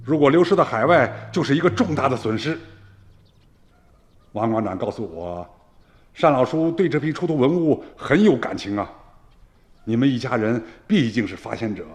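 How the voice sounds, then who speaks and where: An actor playing a firm, businesslike police captain in a radio drama, speaking in an official, commanding manner. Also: male voice, medium pitch, lively intonation, normal speed, natural voice. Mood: serious. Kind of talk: Chinese radio drama acting. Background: music.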